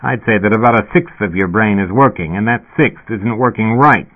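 A man's voice: lowish voice, normal speed, close to an everyday voice. Man reading as an exasperated blunt cop, tinny sound. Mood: scornful. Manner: blunt, incredulous, argumentative. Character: exasperated male policeman, blunt and profane. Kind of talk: audiobook character voice.

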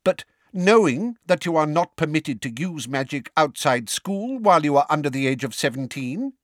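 A man's voice: fairly high pitch, sing-song, moderate pace, a bit bright, dry recording, clean studio sound, pitched above the performer's own voice. A man voicing a pompous, testy middle-aged government minister in an officious, declamatory manner for a storybook. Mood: officious, accusing.

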